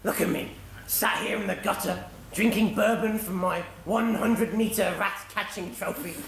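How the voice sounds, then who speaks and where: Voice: medium pitch, fairly even intonation, normal speed, vocal fry, background noise, natural voice. An actor playing a washed-up, drunken old cat in a stage pantomime, rambling and melodramatic. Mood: boastful, bitter. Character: washed-up, drunken, self-pitying old alley cat, former rat catcher. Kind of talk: stage pantomime comedy acting. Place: theatre stage before a live audience. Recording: echoey theatre stage recording with audience.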